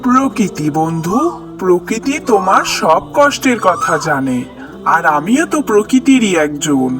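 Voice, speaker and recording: A performer voicing a kindly, wise talking tree in a children's cartoon, calm and comforting. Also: mid-range voice, unhurried. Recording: music behind the voice.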